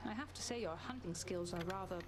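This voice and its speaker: An actress voicing a confident, teasing goddess huntress in a video game, speaking with wry playfulness. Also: female voice, mid-range voice, fast talker, natural voice. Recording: game audio under heavy noise.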